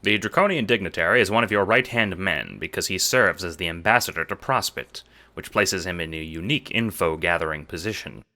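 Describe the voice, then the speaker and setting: A man's voice: low voice, fairly quick, sharp tone. A man narrating a scripted audio drama in the second person, with dramatic storytelling delivery.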